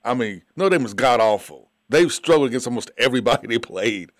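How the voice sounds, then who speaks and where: A man's voice: mid-range voice, lively intonation, a little fast, no room sound, clean studio sound. A man on a sports betting panel, blunt and teasing, laughing through his jokes. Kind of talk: casual sports talk.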